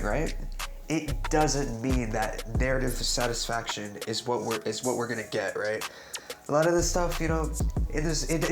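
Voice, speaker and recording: Stammering young man rambling over music. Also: medium pitch. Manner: halting, stutters and restarts often; rambling, keeps asking "right?". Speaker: YouTuber discussing game theories. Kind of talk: casual unscripted commentary. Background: music in the background.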